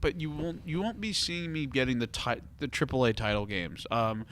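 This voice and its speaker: A man's voice: medium pitch, normal speed, fairly bright tone. A man hosting a casual podcast discussion, talking in an emphatic, questioning way. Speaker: podcast host. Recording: noticeable background room noise.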